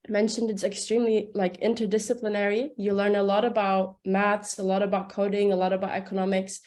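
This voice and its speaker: A woman's voice: mid-range voice, a little mellow. A young woman from Turkey, a second-year student, talking casually and chattily about her studies, with many "like"s. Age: young adult. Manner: chatty, uses "like" a lot.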